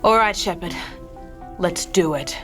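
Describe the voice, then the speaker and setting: A woman playing a tough, decisive heroine in a fantasy table read, brisk and commanding. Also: fairly low pitch, music in the background, lightly acted. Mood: urgent, commanding.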